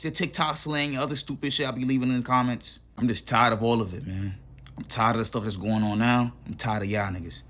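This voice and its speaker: A man's voice: mid-range voice, fairly bright tone. A man with an ordinary mid-range voice scolds his viewers in a frustrated, exasperated vlog. He talks straight to the camera, tired and fed up. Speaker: online commentator.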